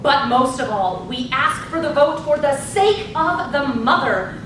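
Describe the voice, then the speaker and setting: Woman acting poised high-voiced suffragist in echoey hall. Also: expressive, measured pace. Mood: rallying. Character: poised, encouraging suffragist politician on a speaking tour. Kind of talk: live stage play acting. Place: large echoey room, live presentation. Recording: echoey noisy hall, distant mic, low rumble.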